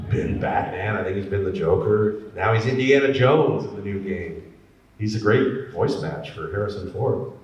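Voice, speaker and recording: A middle-aged man, a voice actor and former radio DJ, telling stories in a chatty, animated way at a convention panel. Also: on the low side. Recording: very echoey hall from far off.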